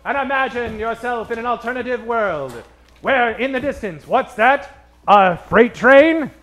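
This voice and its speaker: A man's voice: extremely high pitch, animated, unhurried, a bit bright, a little hiss or hum. A man hosting a live comedy show from a stage, emceeing theatrically in a 1940s radio style and projecting like a showman. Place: stage in front of a live audience. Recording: echoey live stage sound.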